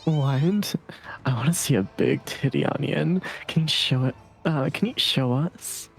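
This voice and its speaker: Eager, cheeky game lead female performer, playfully dramatic, fry in the voice, light music. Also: deep voice, a little slow. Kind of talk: voiced reading of comedy game dialogue.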